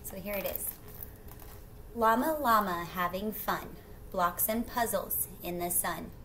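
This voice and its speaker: A middle-aged woman reads to children in a bright, clear-edged voice. She takes her time, drawing out phrases and chatting casually to the listener. The home recording carries faint background noise. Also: mid-range voice. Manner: expressive, drawn-out rhyming phrases, questions to the listener. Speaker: teacher reading a picture book aloud. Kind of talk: children's read-aloud with casual asides to young listeners. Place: small indoor room at home.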